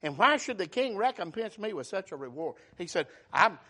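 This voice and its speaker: A man's voice: high-pitched, very animated, brisk, bright, forceful tone, slightly rough. A man preaching a sermon, retelling a scripture story in everyday words and calling out for amen. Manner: calls for amen, dialect grammar, voices the scripture figures.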